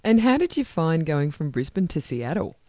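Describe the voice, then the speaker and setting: A woman's voice: low voice, very animated, normal speed, darkish tone, no room sound. A woman with an Australian accent hosting a radio interview in a studio, chatty and enthusiastic with small restarts. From northern New South Wales, Australia. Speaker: radio host. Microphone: studio microphone.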